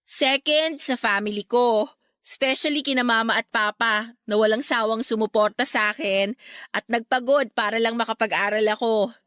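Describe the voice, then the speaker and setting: A woman's voice: a little high, steady tone, normal speed, fairly bright tone, no room sound, clean studio sound, close to an everyday voice. A woman playing a grateful, wistful young woman in a Filipino radio drama, speaking with a Filipino accent. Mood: grateful, warm. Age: young adult. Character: grateful, wistful young woman, newly graduated, missing her late love.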